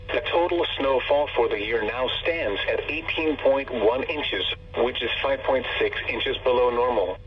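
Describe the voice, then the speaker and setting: A dull, lo-fi radio recording with a faint hum carries an American man's voice, set back from the microphone. He reads a scripted weather bulletin formally and methodically, in a measured synthetic delivery at a medium pitch. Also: recorded from a distance. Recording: muffled, noisy weather radio broadcast with hum.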